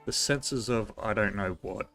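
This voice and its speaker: A man streams a video game with casual, off-the-cuff commentary. His voice is fairly low but bright and punchy, and he thinks aloud informally. Game music plays faintly behind him. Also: a little slow. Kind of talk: casual live gameplay commentary.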